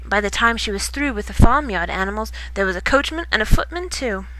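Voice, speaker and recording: A woman reading a children's bedtime story in expressive storybook narration. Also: medium pitch, fairly quick, dry recording, rough recording.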